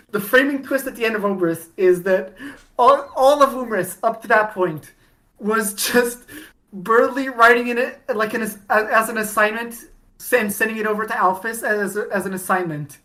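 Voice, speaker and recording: A woman's voice: a little high, unhurried, fairly bright tone. Woman podcast co-host, chatty fan talk, loose and rambling, frequent false starts. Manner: rambling, many restarts and fillers, laughs often. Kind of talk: casual fandom chat.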